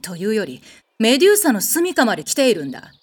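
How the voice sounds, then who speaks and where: A woman playing a haughty, short-tempered goddess in a comedy audio drama, brusque and imperious in rough phrasing. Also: fairly high pitch, wildly swooping pitch, fast.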